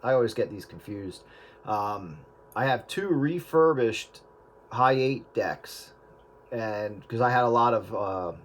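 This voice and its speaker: A man with a mid-pitched voice talks through practical advice in an easygoing, explanatory way. He hosts a casual radio chat and often pauses with fillers. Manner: relaxed, explanatory, frequent fillers. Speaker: radio show host. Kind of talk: casual chat about converting old media.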